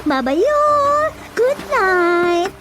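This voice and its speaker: A woman's voice: very high voice, big pitch swings, drawn-out words, heavily acted. A woman with an Ilocano Filipino accent playing a polite, coy, mysterious night visitor in a radio drama. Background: music.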